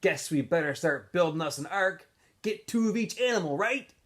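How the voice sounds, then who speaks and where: A man's voice: high voice, lively intonation, moderate pace, fairly bright tone, pitched above the performer's own voice. A man voicing a wisecracking, drawling teenage camp boy from a storybook. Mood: joking.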